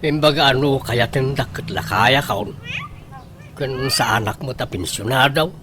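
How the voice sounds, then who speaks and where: A man's voice: medium pitch, unhurried, close to an everyday voice. Man acting stubborn old Ilocano bachelor, noisy recording. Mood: self-justifying. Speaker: radio drama actor. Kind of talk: Ilocano comedy radio drama acting. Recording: noisy radio drama recording.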